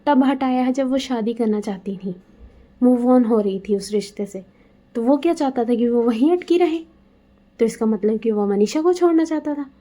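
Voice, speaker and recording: A woman with a fairly high yet very mellow, soft-edged voice. She talks conversationally, as a video commentator sharing her own opinions. The delivery is casual and unscripted. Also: normal speed.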